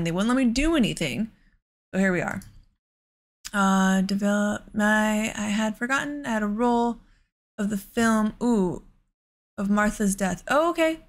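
A woman giving casual let's play commentary on a video game, rambling and thinking aloud as she goes. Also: mid-range voice.

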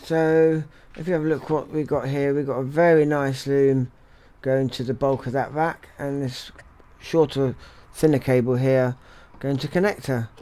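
A man's voice: mid-range voice, fairly even intonation, normal speed, hardly any pauses, dark tone. A man giving casual commentary as a repair technician, musing aloud while he works at the bench. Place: indoor electronics workshop.

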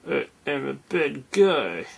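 Man doing a slow, silly toy robot villain. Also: mid-range voice, very animated, vocal fry, slight background noise, exaggerated voice. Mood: playful. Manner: slow, silly put-on foreign-sounding voice. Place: quiet room at home at night.

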